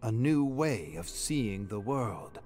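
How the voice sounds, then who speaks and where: A man voicing an earnest, insistent investigator of otherworldly mysteries with slow intensity, in a dramatic game story reading. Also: medium pitch, sing-song, darkish tone. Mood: earnest, urgent. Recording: music playing behind the voice.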